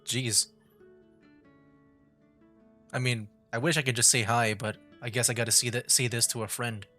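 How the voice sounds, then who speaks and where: A man giving casual game commentary as a streamer, thinking aloud in a halting, musing way. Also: mid-range voice, flat delivery, sharp tone. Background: game music.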